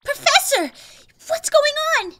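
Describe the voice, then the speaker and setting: Woman as a very high-pitched perky girl creature. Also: very animated, unhurried, sharp tone, heavily acted. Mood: curious, alarmed. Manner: perky, inquisitive. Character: perky, sassy young female goomba student.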